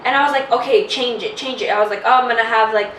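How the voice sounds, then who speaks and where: A woman's voice: on the high side, fast talker, a bit bright, a little hiss or hum. Candid young woman rambling through a story. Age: 20s. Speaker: podcast co-host. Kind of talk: casual chat. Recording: roomy, distant mic.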